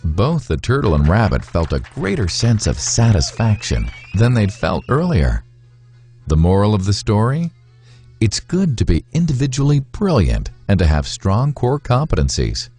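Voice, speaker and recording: A man narrating a scripted moral fable in a measured, instructive way, with deliberate phrasing. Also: fairly low pitch, huge pitch swings, dark tone, husky, soft background music. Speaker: storyteller, narrator.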